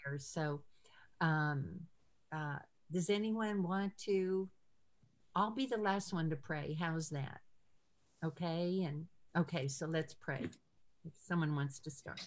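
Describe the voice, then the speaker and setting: An older woman leading an informal ministry video call, speaking in an encouraging, halting way from a room at home. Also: deep voice. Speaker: ministry leader hosting a call. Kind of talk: informal prayer-call talk. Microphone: video call computer mic.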